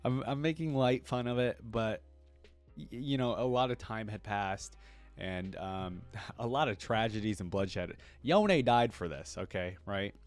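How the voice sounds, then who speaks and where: Male reaction creator, lowish voice, casual lore read-through with off-the-cuff asides, faint music underneath. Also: measured pace. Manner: interrupts reading with conversational asides.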